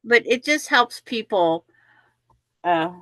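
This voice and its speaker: A woman's voice: a little high, expressive, slow, bright, forceful tone, dry recording. A woman hosting a quilting show, chatting in a rambling way and explaining how the block pieces fit together. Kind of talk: casual live chat and craft instruction.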